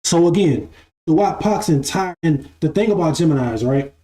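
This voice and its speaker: A young man in his twenties talks through spiritual ideas in a loose, repetitive way, checking in with "right?" as he goes. His voice is mellow and sits a little high. The room is echoey, with faint music underneath. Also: expressive, normal speed. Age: 20s. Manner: repeats phrases, asks "right?". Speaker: spiritual live-stream host. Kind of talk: casual spiritual monologue.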